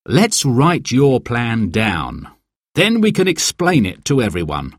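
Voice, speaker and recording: A man voicing a concerned, earnest uncle in a children's story, with a measured delivery. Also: medium pitch, wildly swooping pitch, no room sound, studio quality, full character voice. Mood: hopeful.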